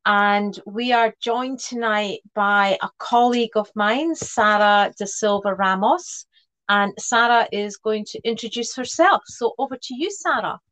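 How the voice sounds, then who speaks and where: A woman's voice: mid-range voice, unhurried, fairly bright tone, poor audio. A woman co-hosting a podcast as a speech therapist, leading a conversational interview with friendly questions and small restarts.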